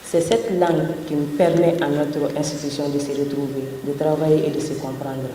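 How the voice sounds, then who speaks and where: A man representing an institution giving a steady, formal interview statement. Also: a little high, normal speed, noisy background. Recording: distant echoey hall.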